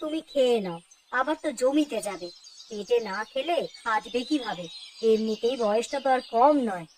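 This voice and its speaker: A woman voicing a gentle, worried, self-sacrificing village mother-in-law in a cartoon, soft and plaintive as she coaxes. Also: fairly high pitch, animated, normal speed, slight background noise, natural voice. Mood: worried, sorrowful. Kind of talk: cartoon dialogue acting.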